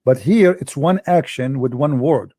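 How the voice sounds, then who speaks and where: Insistent man with a dry, mellow voice. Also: medium pitch, unhurried, no echo. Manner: insistent, repeats points, nonstandard English grammar. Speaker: debate host. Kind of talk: argumentative religious debate.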